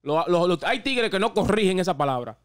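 A man's voice: high voice, lively intonation, fairly quick, smooth. A man in his thirties with a Dominican Spanish accent, explaining casually with English phrases dropped in and checking he is understood. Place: small indoor podcast studio. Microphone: close studio microphone on boom arm.

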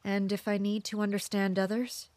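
A woman playing a guarded, distrustful commander of mercenaries in an audio drama, measured and introspective. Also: medium pitch, little pitch movement, a little mellow, dry recording, natural voice. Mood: guarded, pensive. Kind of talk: audio drama acting with inner monologue.

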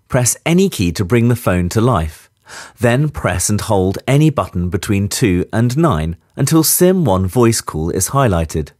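Lively-pitched man reading safety instructions. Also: no room sound, clean studio sound. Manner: clear, measured instructional delivery. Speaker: safety briefing narrator. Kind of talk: scripted voice-over instructions.

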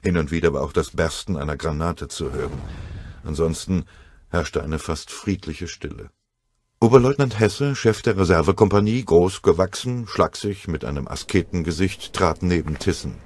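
A man with a husky voice reading a war story as scripted audiobook narration. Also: sits low, normal speed, a little mellow.

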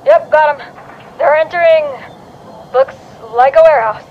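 Woman as a very high-pitched young agent. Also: moderate pace, lightly acted. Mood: brisk. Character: brisk, excitable young female strike-team agent. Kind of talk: game radio dialogue. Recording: dull, noisy radio channel.

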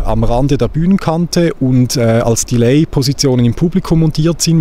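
Male sound engineer, average pitch, measured explainer delivery; handheld mic outdoors with audible ambient noise. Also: a little mellow. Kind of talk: technical explanation to camera. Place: outdoors on an open-air stage. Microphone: handheld microphone held at chest.

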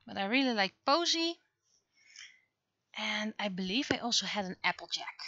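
A woman's voice: medium pitch, lively intonation, moderate pace, pushed and bright, no room sound, dull audio. A middle-aged woman, a native Dutch speaker, chatting casually and thinking aloud as she draws on a livestream. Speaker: streamer and webcomic artist. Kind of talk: casual livestream chat while drawing. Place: indoors at a computer.

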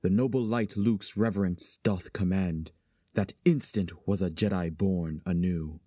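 Solemn verse chorus played by a man, low, dark mellow tone, deliberate, Shakespearean drama. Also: husky, no echo, clean studio sound. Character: solemn male chorus narrating a stage play in verse.

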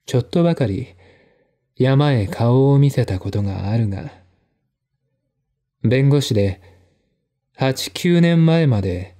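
Slow, dark-voiced male mystery narrator. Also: medium pitch. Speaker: narrator and reader. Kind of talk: dramatic scripted narration of a mystery novel.

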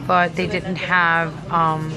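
A woman's voice: on the low side, level tone, slow, crowd noise, poor audio. A middle-aged woman talking casually to camera as an amateur singer, recorded on a phone held at arm's length. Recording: loud music behind the voice.

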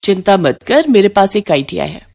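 A woman's voice: mid-range voice, big pitch swings, normal speed, creaky, a little mellow. A woman voicing a dutiful, crafty young daughter-in-law in a cartoon story. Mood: concerned.

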